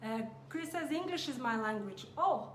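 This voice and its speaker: A woman's voice: high-pitched, animated, a bit bright, roomy sound, a little hiss or hum. A woman with a Greek accent, hosting a live cooking stream and chatting with viewers. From Greece. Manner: unhurried, chatty, reads viewer comments aloud. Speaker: cooking channel host. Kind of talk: casual live-stream chat.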